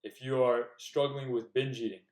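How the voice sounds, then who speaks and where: A man's voice: mid-range voice, fairly even intonation, normal speed. A young man giving earnest, direct self-improvement advice in a casual talk to the camera. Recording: echoey room.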